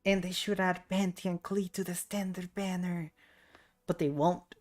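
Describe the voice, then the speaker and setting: A woman's voice: on the low side, flat delivery, normal speed, a little mellow, faint music behind. A young adult woman streaming a game, chatting casually and rambling as she muses aloud. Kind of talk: casual livestream chat while gaming.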